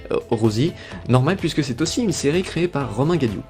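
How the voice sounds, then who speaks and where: A man's voice: medium pitch, expressive, fairly quick, a little mellow, soft background music. A man reading scripted narration for a video essay presenting cartoon characters.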